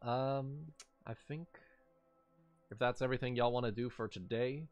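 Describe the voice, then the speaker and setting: A man's voice: mid-range voice, steady tone, a little mellow, highs cut off, soft background music. A man running a tabletop game as game master, speaking casually and hesitantly as he narrates. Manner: hesitant, halting delivery.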